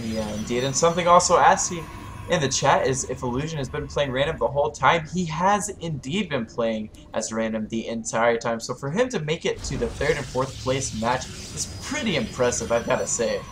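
A man casting a strategy game match live, a little hoarse from losing his voice, calling plays with rising excitement. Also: medium pitch, moderate pace, background noise. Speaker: esports caster.

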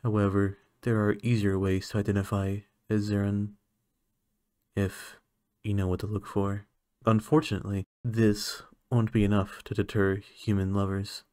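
A man voicing a curious, clinical alien scientist in a soft-spoken ASMR roleplay, measured and formal with hesitant moments. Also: fairly low pitch, little pitch movement, dry recording, clean studio sound, close to an everyday voice.

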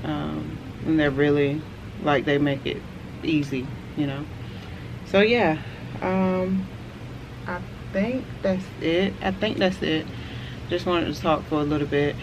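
A woman in her forties, a vlogger, chatting casually to camera on a house porch, relaxed and rambling with lots of fillers. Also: sits low, slow, muffled recording. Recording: outdoor camera audio with clear background noise.

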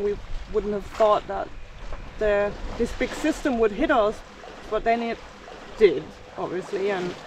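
A woman's voice: medium pitch, recorded from a distance. A woman in her forties recounting a sailing passage to camera in a chatty, matter-of-fact way, outdoors on a boat at sea. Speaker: sailing vlogger. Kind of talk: casual vlog narration to camera. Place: outdoors on a sailboat deck at sea. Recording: wind and water noise behind.

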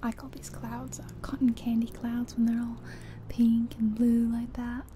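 A woman whispering softly and breathily in an ASMR roleplay as a friendly taxi driver, chatting gently and without hurry. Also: a little high, measured pace. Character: friendly small-town taxi driver giving a guided ride. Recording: steady car and rain noise. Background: car sounds and rain.

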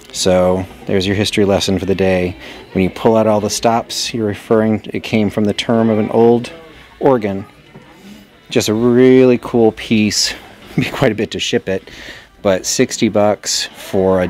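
A man with a deep, low voice talks his way through an antique shop, filming on a handheld phone. He is chatty and loose, thinking aloud with small laughs and fillers as he sizes up items to resell. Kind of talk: casual shopping vlog narration. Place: indoors in an antique auction shop.

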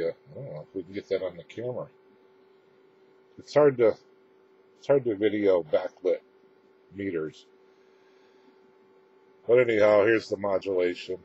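A man giving a casual, unscripted equipment demonstration as presenter, explaining in a halting, matter-of-fact way, recorded indoors on a camera microphone. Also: deep voice, mellow. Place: indoors, small room with radio gear. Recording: dull lo-fi camera audio, clear background noise.

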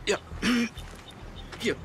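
An actor playing a fussy, flustered police chief in a TV drama, with a halting manner. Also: male voice, over music.

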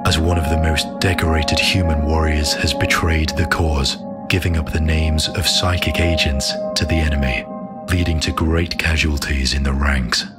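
A man's voice: a bass voice, fairly even intonation, normal speed. A man playing a solemn narrator who introduces a science-fiction audio drama in a dramatic scripted style. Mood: grave, portentous. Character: solemn omniscient male narrator of a sci-fi saga. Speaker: series narrator. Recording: voice under loud music. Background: loud music.

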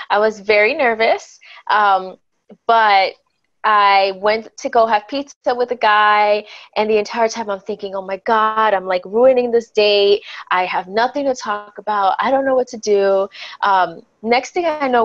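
A woman's voice: medium pitch, a little slow, no echo. A young woman in her twenties tells her dating stories with candid, bubbly energy and breaks into laughter often. Her voice comes through a wired earbud mic on a video call from a small bedroom, and the sound is dry and slightly dull, with a lo-fi quality. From Dominican Republic, raised in the Bronx. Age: 20s. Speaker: podcast guest. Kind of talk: casual storytelling on a video call. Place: small home bedroom indoors.

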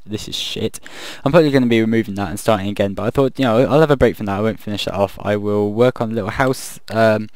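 A low, gravelly male voice, croaky late at night, rambles and thinks aloud as he builds in a video game. The sound is close and dry, with no room around it, and he wanders off mid-sentence and pokes fun at his own work. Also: a little mellow, no room sound. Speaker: gaming video creator. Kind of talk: casual commentary over a building game.

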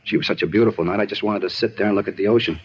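An actor playing a talkative, disturbed murder suspect in a 1950s radio drama, rambling and insistent in an American accent. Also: male voice, on the low side, steady tone, racing, a little hiss or hum, lightly acted. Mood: wistful, rambling. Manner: rambling, repetitive, insistent, plain-spoken. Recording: dull vintage radio recording.

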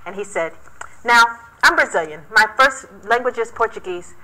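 A woman scientist telling a story on a panel in a conference room, animated and laughing easily. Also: on the high side, moderate pace, noisy background. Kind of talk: panel discussion.

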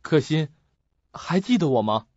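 An eager young man with a high, lively voice, played by a male actor. He is gentle and earnest, coaxing in radio drama style, slightly husky, with music underneath. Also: unhurried, no room sound, dull audio, full character voice. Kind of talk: Chinese suspense radio drama acting.

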